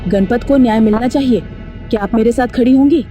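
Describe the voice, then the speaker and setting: A woman's voice: fairly high pitch, brisk, mellow, lightly acted. A woman playing a kind-hearted, determined village mother in a Hindi cartoon story, earnest and emotional. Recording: faint music behind the voice.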